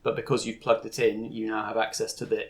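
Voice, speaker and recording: A man's voice: lowish voice, level tone, quick pace. A man improvising narration as game master, explaining the scene in a conversational way.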